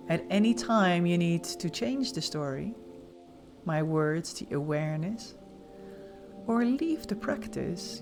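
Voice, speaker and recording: A woman leading a guided meditation as an instructor, giving gentle, soothing, softly spoken instructions with pauses. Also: lowish voice.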